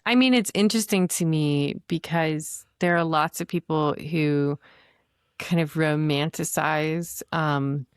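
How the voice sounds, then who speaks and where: A woman's voice: low voice, slow pace, clean tone, no echo, studio quality. A woman speaking as a guest poet in a casual literary interview, informal and conversational. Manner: informal, frequent "like" as emphasis and hedge.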